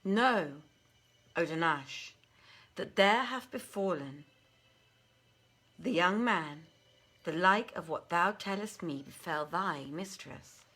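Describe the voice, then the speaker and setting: A woman's voice: on the low side, lively intonation, takes it slowly, long pauses, a bit bright, full character voice. A woman voicing a scornful, imperious female jinn in a storybook, haughty and declamatory. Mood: proud admiration.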